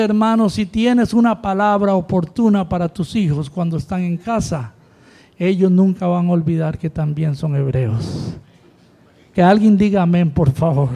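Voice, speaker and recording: A man's voice: high voice, warm tone. A man delivers a sermon. He preaches with rising emphasis and keeps calling out to his congregation. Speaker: pastor.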